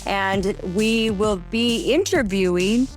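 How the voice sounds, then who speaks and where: A woman's voice: mid-range voice, takes it slowly, faint music behind. A woman in her forties hosting an interview podcast in a playful, flirty chat, laughing often. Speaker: podcast host.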